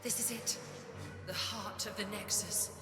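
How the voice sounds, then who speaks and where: A woman's voice: medium pitch, steady tone, unhurried, natural voice. A woman playing an imperious, driven inquisitor in a video game cutscene, with a haughty, commanding, intense delivery. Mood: awed, intent. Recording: roomy echo under loud music.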